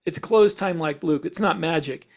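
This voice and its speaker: Higher-voiced man explaining casually. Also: fairly quick, gravelly. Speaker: podcast co-host. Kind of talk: casual explanation of science fiction and speculative ideas.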